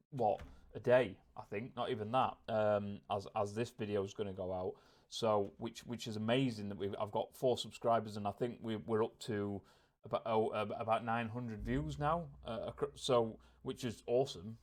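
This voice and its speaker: A man's voice: fairly low pitch, a little mellow. A man talking casually and reflectively in a personal vlog, rambling a little and repeating words as he thinks aloud. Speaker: vlogger. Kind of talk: casual vlog talk to camera, self-reflective.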